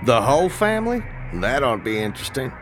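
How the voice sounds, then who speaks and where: An actor playing a tactless, cold, controlling politician in an audio drama, speaking in a blunt, terse, demanding way. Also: male voice, mid-range voice, big pitch swings, fast, music in the background, lightly acted, low-quality recording. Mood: guarded.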